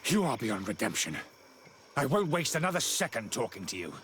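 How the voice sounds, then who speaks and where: Game role: haughtily indignant male priest, very animated pitch, faint swamp frogs behind. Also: brisk, a little hiss or hum. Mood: indignant, disdainful. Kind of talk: video game cutscene dialogue.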